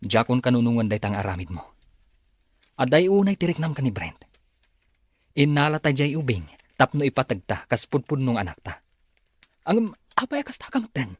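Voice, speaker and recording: An actor playing a father in an Ilocano radio drama, remorseful and defensive of his child, with a husky voice. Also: mid-range voice, big pitch swings, moderate pace, pauses often, dry recording, studio quality. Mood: reproachful, firm.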